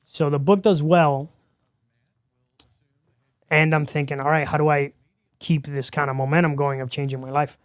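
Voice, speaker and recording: Lively man with a smooth voice. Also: a little high, moderate pace, long pauses, dry recording, clean studio sound. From Miami, Florida. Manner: animated storyteller who acts out quoted dialogue. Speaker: podcast guest. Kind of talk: storytelling in a casual interview.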